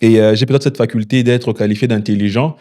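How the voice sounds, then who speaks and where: A man, a startup founder answering interview questions in a measured way with many hesitations. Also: on the low side, fairly even intonation, warm tone. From DR Congo. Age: 20s. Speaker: founder and CEO of a delivery startup.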